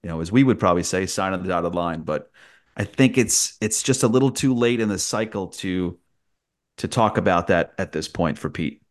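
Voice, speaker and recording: Deep-voiced man talking sports. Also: expressive, moderate pace. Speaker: sports play-by-play broadcaster, podcast guest. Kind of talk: conversational sports analysis.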